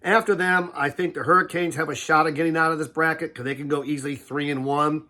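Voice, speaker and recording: A man's voice: on the high side, quick pace. Older man with a nasal head cold. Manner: relaxed, rambling with fillers and restarts. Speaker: host. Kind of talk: casual sports preview talk.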